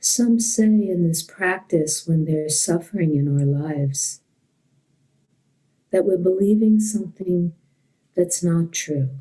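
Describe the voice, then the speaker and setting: A woman teaching a guided meditation in a gentle, unhurried way with long pauses. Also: lowish voice, low-quality recording.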